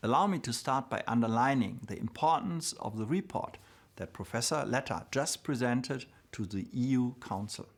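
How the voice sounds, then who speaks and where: A man, a corporate executive, reading formal opening remarks in a measured, deliberate manner. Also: mid-range voice, animated. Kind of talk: read prepared speech.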